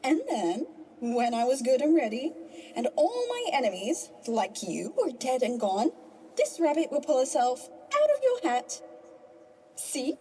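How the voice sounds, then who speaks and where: A woman's voice: high-pitched, wildly swooping pitch, unhurried, mellow, slightly rough, exaggerated voice, rough recording. A woman playing a gloating, theatrical rabbit trickster villainess in an audio drama, mocking and hammy like a stage host. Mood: gloating, smug. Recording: music under the voice.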